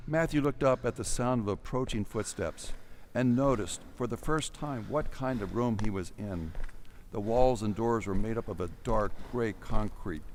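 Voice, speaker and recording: A man's voice: fairly low pitch, a little mellow, slight background noise. A man narrating an audio drama, reading a scripted story in a dramatic storytelling style. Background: music.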